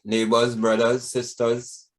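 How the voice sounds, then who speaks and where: A man's voice: medium pitch, monotone, slow pace, poor audio. A man hosting an interview, asking friendly, rambling questions full of fillers. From Trinidad. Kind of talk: casual interview.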